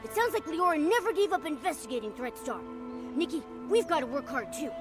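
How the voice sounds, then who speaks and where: A woman voicing a tiny, plucky, eager companion creature with a squeaky voice in video game dialogue. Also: lively intonation, brisk. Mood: eager, resolute. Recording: background music under the voice.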